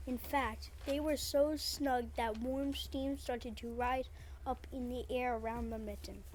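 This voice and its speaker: A woman's voice: fairly high pitch, fairly even intonation, a little slow, a little mellow. A woman reading storybook narration aloud as part of a readers theater. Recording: faint background noise.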